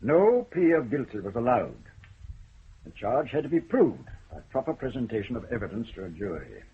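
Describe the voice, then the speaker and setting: An actor playing a stern, ironic older judge in a radio drama, speaking in a measured, deliberate way. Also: male voice, medium pitch, very animated, husky, full character voice. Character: stern elderly male Scottish judge, dry and ironic. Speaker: senior judge. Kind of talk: 1970s BBC radio drama acting. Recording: muffled old radio broadcast.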